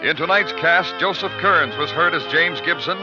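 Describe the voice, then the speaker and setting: Casting: male American announcer for vintage radio thriller, slightly high voice, portentous delivery, loud music behind. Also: moderate pace, lo-fi sound. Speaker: program announcer. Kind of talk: dramatic 1950s radio announcing.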